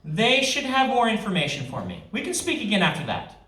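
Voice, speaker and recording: A man's voice: sits high, very animated, fairly quick, pushed and bright, pitched above the performer's own voice, heavily acted. A man reading the part of a secretive, domineering king with a dismissive, commanding manner. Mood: brisk. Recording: echoey event room, distant microphone.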